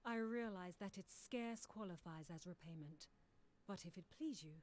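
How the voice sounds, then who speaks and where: A woman's voice: lowish voice, normal speed, darkish tone, close to an everyday voice. An actress playing a courteous, solemn woman warrior in a video game, with humble, formal, archaic phrasing. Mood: grateful, humble.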